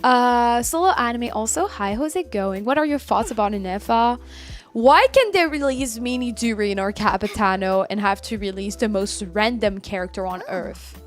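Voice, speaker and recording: A woman's voice: on the high side, moderate pace, soft background music. A woman in her early twenties chatting casually as a game streamer, reading out and answering viewer messages. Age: early 20s. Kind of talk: casual livestream chat.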